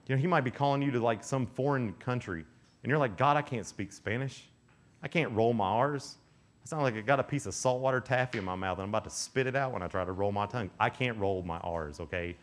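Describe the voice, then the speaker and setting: A man's voice: mid-range voice, fast talker. A young man giving a casual, joking sermon to teenagers at a youth camp, often acting out little voices. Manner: animated, joking, acts out quoted voices.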